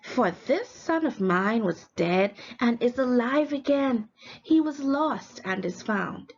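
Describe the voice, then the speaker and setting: Woman reading as a forgiving father, lifted in pitch. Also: animated, slow pace. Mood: excited, joyful. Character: loving, joyful, forgiving father. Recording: dull lo-fi recording, faint background noise.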